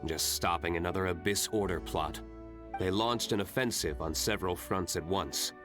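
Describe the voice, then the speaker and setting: An actor playing a cool, self-assured young nobleman vigilante in an anime-style video game, composed, measured and reserved. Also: deep voice, close to an everyday voice. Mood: serious, matter-of-fact. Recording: background music under the voice.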